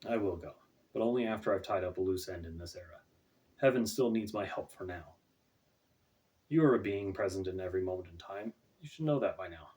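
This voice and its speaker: A man reading an earnest archangel, dark, distant. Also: low voice, expressive, brisk. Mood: resolute. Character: questioning, loyal male archangel. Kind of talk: storybook character voice. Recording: distant, off-mic home recording.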